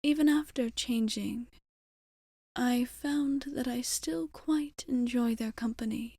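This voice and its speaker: A woman voicing a gentle, wistful, centuries-old female vampire in a soft, breathy, whispery ASMR roleplay, with measured, formal wording close to the mic. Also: a little high, dry recording, exaggerated voice. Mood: wistful, reflective. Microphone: close microphone.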